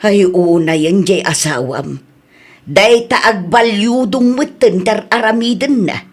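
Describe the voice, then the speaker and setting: A woman's voice: mid-range voice, takes it slowly. An actress with an Ilocano accent playing a nosy, disapproving older mother in a radio drama.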